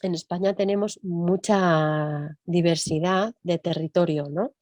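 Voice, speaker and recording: A woman music teacher talking in an interview, thinking aloud and often tagging her points with quick questions. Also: on the low side, fairly even intonation, a little mellow, dry recording. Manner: reflective, thinks aloud with frequent tag questions. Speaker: music teacher and percussionist guest. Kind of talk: conversational interview.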